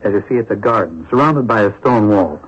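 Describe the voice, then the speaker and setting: A man's voice: lowish voice, animated, a little fast, slightly rough. A man acting in a radio drama as an apologetic, eager Civil War collector, speaking hesitantly and earnestly. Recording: dull lo-fi radio broadcast recording.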